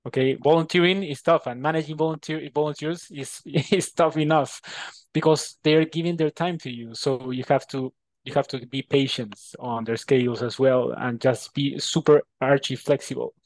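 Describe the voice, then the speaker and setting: A man from Peru with a Peruvian Spanish accent, a native Spanish speaker answering interview questions in a halting way, often repeating words. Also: medium pitch, fairly even intonation, no room sound, poor audio. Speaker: interview guest.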